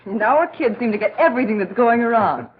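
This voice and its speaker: A polite, friendly young-mother type from a 1940s film, played by an actress. Her fairly high voice moves up and down a lot, on a thin vintage soundtrack with faint noise. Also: animated, quick pace, narrow, tinny audio, lightly acted. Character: polite, friendly housewife and mother chatting in a store. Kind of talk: 1940s training film acting.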